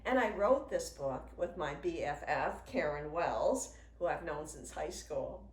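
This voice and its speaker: A woman in her sixties, a children's author, reading a picture book aloud to young children in an expressive, sing-song way. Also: low-pitched, unhurried. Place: indoors in a home room with a wooden desk. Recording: roomy sound with faint noise.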